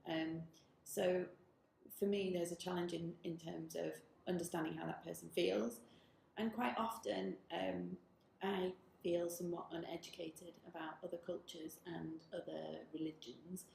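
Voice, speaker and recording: A woman with a low and very gravelly voice answers thoughtfully and earnestly. The room is echoey, with faint noise underneath. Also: measured pace, darkish tone. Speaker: technology director. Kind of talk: workplace interview. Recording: roomy office with faint noise.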